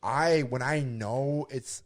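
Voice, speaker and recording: A man's voice: mid-range voice, slow pace. A young man co-hosting a podcast, chatting casually with a stutter and repeated words. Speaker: podcast co-host.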